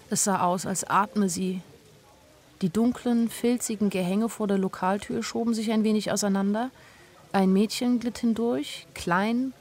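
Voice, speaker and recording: A woman's voice: mid-range voice, creaky, darkish tone. An actress playing a sensitive young woman who tells her own story in an intimate, literary radio drama narration. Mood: wistful. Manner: literary, intimate first-person telling.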